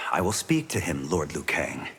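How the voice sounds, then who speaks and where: An actor playing an eager, respectful young martial arts monk in a video game. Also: male voice, a bass voice, sing-song, a little slow, gravelly, heavily acted. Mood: earnest. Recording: loud noise behind game dialogue.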